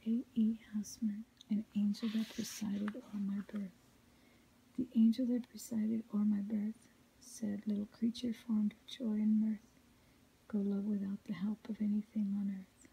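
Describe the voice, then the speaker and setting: A woman softly reading poetry in a breathy whisper, ASMR style, with a measured, melodic recitation. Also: mid-range voice, little pitch movement, low-quality recording. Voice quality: soft unvoiced whisper, breathy.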